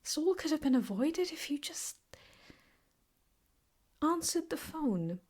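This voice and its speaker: A woman playing an obsessive, possessive, sweetly menacing ex-girlfriend in an improvised ASMR roleplay, teasing and often slipping into breathy whispers. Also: high voice, sing-song, slow, darkish tone, heavily acted. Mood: hurt, accusing.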